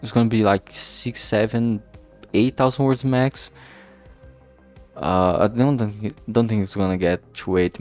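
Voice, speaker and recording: A man talking casually and haltingly in a rambling vlog, informal and self-deprecating, with some swearing. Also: lowish voice, a little mellow. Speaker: indie visual novel creator and vlog host. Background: background music.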